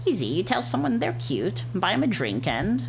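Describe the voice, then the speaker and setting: Guy doing a flirty rooster character, pitched up, cocky and teasing, visual novel style. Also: huge pitch swings, normal speed, creaky, slight background noise, full character voice.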